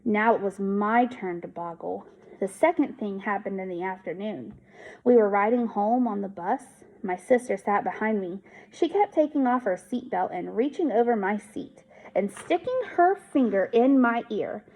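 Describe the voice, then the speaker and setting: A woman teacher reading a children's book aloud in an expressive storytelling style. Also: mid-range voice, normal speed, rough recording.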